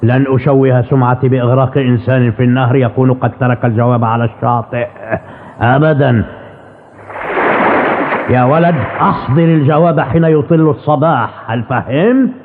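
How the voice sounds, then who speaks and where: A man's voice: mid-range voice, a little fast, natural voice. A man playing a proud, menacing river monster with a commanding manner in a dubbed cartoon. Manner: commanding, theatrical. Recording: tinny narrow-band audio, faint noise.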